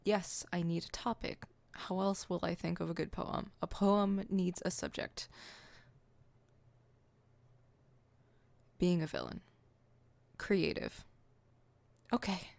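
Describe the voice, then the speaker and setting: A woman's voice: lowish voice, fairly even intonation, a little slow, creaky, a little mellow, no room sound. A woman playing a theatrical, poetic supervillain in an ASMR roleplay, haughty and dramatic, stammering when flustered. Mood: composed, terse.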